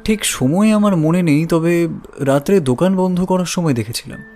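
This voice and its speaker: An actor playing a wary, evasive roadside shopkeeper in an audio drama, defensive and colloquial. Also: male voice, on the high side, normal speed, darkish tone, natural voice. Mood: casual, wary. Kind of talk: audio story drama acting. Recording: music bed behind voice.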